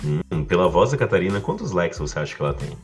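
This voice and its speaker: A man's voice: sits low, big pitch swings, fast, faint music behind. A young man with a Brazilian accent, chatting in casual slang as a podcast co-host.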